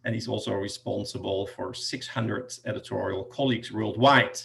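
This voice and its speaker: Gravelly-voiced male panel moderator. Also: fairly low pitch, fairly even intonation, normal speed, fairly bright tone. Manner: introduces guests, with fillers. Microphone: video call.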